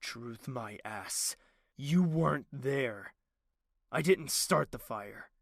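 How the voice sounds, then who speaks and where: A man's voice: medium pitch, expressive, takes it slowly, creaky, no echo, clean studio sound, full character voice. A man playing a proud, betrayed young royal in an audio drama, intense and imperious, with bitterness that can turn volatile. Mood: bitter.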